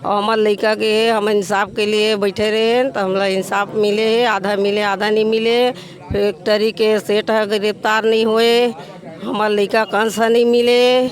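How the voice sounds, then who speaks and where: High, flat-toned Chhattisgarhi man. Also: unhurried. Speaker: interviewee from a victim's family. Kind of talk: plain colloquial testimony.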